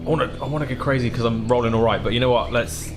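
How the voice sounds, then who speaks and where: A man's voice: medium pitch, fairly even intonation, very fast, music in the background. A man chatting casually as a tabletop game player, thinking aloud as he goes. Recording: clearly noisy room ambience.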